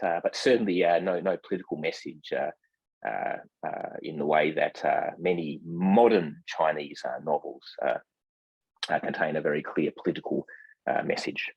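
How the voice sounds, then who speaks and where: A man speaking as a guest translator in a scholarly discussion, formal in wording, with hesitant, measured phrasing full of ums. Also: extremely low pitch, gravelly, slightly rough, dry recording, highs cut off. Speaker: literary translator, podcast guest.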